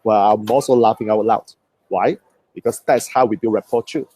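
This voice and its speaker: A man's voice: lowish voice, moderate pace, darkish tone, husky. A man from Hong Kong with a Hong Kong accent, a native Cantonese speaker, giving a motivational coaching talk on a live stream and putting questions to his viewers. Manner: motivational, asks the audience questions.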